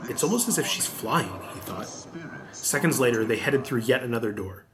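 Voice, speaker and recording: A man's voice: medium pitch, lively intonation, brisk, background noise. A man narrating a scripted adventure audiobook as the storyteller.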